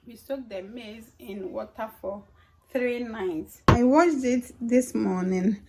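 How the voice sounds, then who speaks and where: A Ghanaian woman talking casually to her vlog viewers, her voice hoarse from the dry harmattan air. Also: on the high side, slow, noisy background. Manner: chatty, mixes in local sayings. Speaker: vlogger.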